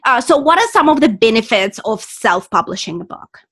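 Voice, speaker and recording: A woman's voice: fairly high pitch, very animated, fairly quick, fairly bright tone, breathy edge. A woman interviewing a guest in casual chat, speaking English as her second language, encouraging and full of fillers and restarts. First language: not English.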